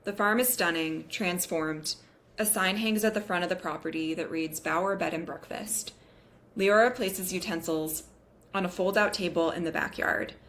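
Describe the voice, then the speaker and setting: A woman's voice: fairly low pitch, a bit bright, a little hiss or hum. A woman reading scripted narration and stage directions at a table read.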